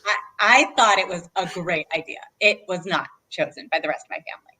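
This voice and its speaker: A woman's voice: on the low side, fairly quick, sharp tone, rough recording. A woman hosting a read-aloud for children, speaking as a librarian in an encouraging way and putting questions to her listeners. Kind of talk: children's storytime chat.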